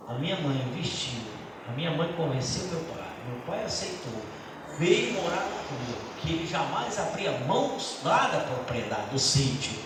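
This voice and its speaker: A man's voice: on the high side, distant mic. Man, middle-aged, pastor, earnest church teaching talk, heavy hall echo, noisy background. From Brazil. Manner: earnest personal testimony, halting restarts.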